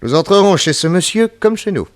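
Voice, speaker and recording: An actor playing a confident, unflappable private detective in a French radio serial, breezy and commanding. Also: male voice, sits high, expressive, fairly quick. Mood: breezy, assured.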